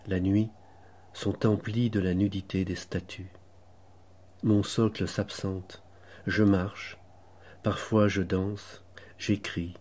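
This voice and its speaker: A man reciting literary poetry in a measured, contemplative manner, with deliberate pauses between short phrases and a husky voice. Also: sits low, darkish tone. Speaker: poet reading own poems.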